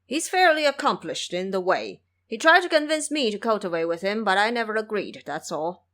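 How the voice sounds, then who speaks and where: A woman voicing a brash, grumbling male boar monster turned monk in an audio drama, loud and swaggering. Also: medium pitch, very animated, brisk. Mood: boastful.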